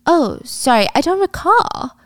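A woman's voice: on the high side, lively intonation, fairly quick, no echo, studio quality, lightly acted. A woman voicing a mean young female influencer in a story, condescending and sarcastic. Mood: mock sweet.

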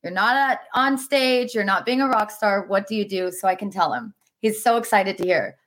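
A woman's voice: mid-range voice, lively intonation, normal speed, fairly bright tone. An American woman co-hosting a podcast, talking in a chatty, informal way. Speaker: podcast co-host. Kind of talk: casual podcast chat.

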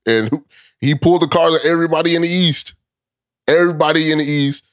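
A man's voice: a little high, steady tone, fast talker, no room sound, studio quality. A man speaking as a podcast guest in casual sports talk, laid-back and slangy, often laughing between phrases.

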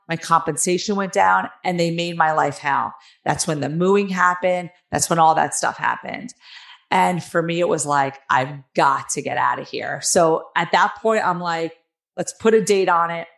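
Middle-aged woman guest, Jersey accent, raspy and nasal, low-ish voice, candid and emphatic. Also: moderate pace. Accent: New Jersey. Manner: earnest, emphatic, with many fillers. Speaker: guest author. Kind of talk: candid interview storytelling.

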